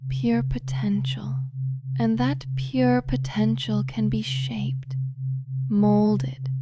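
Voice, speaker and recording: Slow, breathy woman, hypnotic and commanding. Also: mid-range voice. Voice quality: breathy, often whispery. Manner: commanding, deliberate, seductive. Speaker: hypnosis audio performer. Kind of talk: slow, intimate guided hypnosis monologue, ASMR-like. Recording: clearly audible background noise.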